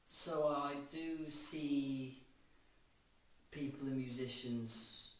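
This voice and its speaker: A middle-aged man from Cardiff, answering interview questions in a halting way, often repeating words and restarting. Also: mid-range voice. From Cardiff, Wales. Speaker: guitarist and producer. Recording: distant, very echoey room with faint noise.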